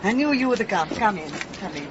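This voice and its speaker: A woman's voice: mid-range voice, big pitch swings, normal speed, muffled recording, background noise, lightly acted. A woman playing a doting but secretive village woman, emphatic and motherly, in a radio drama. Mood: fond, wistful.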